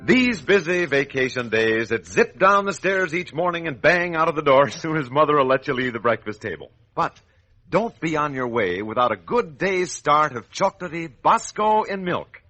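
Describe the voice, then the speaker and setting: Male 1940s radio announcer-narrator, lively swoops, slightly rough bright tone, emphatic storytelling. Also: on the high side, normal speed. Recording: old radio broadcast recording.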